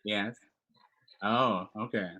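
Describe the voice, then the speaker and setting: A man hosting a dating-show bit in improv comedy, talking in a hesitant, halting way full of fillers over a home video call. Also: on the low side, fairly even intonation, pushed and bright, rough recording. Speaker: game show host.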